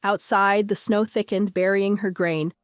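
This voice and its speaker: A woman's voice: medium pitch, steady tone, a little slow, smooth, no echo. A woman reading a scripted story as a dramatic audiobook narrator.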